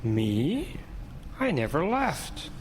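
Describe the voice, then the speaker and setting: A ghostly retired reverend, played by an actor, sly and deliberate. His fairly high male voice swings widely in pitch, in a reverberant hall with music underneath. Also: wildly swooping pitch, exaggerated voice. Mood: taunting. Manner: deliberate, smoothly taunting. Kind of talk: audio horror drama acting.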